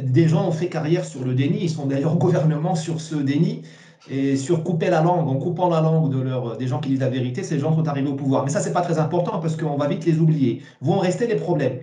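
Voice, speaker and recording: A man, giving emphatic, opinionated interview answers with repeated words and halting starts. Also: on the high side, dark tone, reverberant. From Morocco. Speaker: interview guest, essayist.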